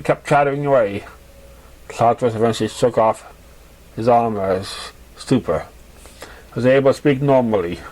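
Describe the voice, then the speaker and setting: A man's voice: mid-range voice, darkish tone, low-quality recording. A man reading a fan fiction story aloud as an amateur narrator, stumbling over words and rereading lines. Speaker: amateur audiobook reader.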